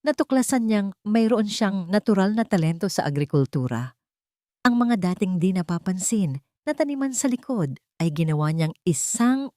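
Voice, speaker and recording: A woman's voice: lowish voice, darkish tone, dry recording, clean studio sound. A woman narrating a scripted romantic story in a dramatic, sentimental way.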